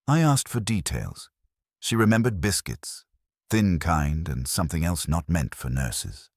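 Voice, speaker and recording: A man's voice: low-pitched, big pitch swings, unhurried, no echo, studio quality, heavily acted. A man voicing an agreeable, dutiful junior policeman who reports drolly and matter-of-factly in a mystery story. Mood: dutiful, droll.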